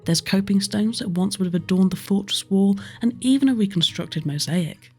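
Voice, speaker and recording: A woman's voice: medium pitch, moderate pace, a little mellow, breathy edge. A woman with a British accent, giving scripted narration as a history tour presenter. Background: background music.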